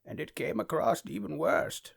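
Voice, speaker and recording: Man putting on a creaky, high-pitched old man. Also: moderate pace, full character voice. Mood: demonstrative. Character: cranky old man in an amateur anime dub.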